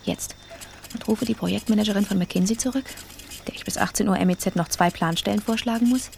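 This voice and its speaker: A woman's voice: mid-range voice, brisk, vocal fry, darkish tone, a little hiss or hum, lightly acted. An actress playing a controlled, privately resentful female office boss, talking to herself with clipped resolve in a radio play. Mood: irritated, determined. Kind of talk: radio play interior monologue.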